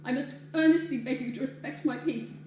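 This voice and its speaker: A woman's voice: high voice, fairly quick, darkish tone, lightly acted. An actress playing a prim, haughty widowed lady who scolds in stiff, formal speech, in a stage play. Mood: pleading. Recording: echoey hall, distant mic, hiss.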